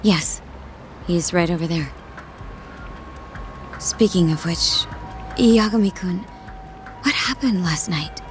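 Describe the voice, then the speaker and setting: A serious, composed woman in a video game, speaking slowly and carefully with a lowish voice. Soft music sits behind her. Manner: calm, measured, serious. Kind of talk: video game dub acting.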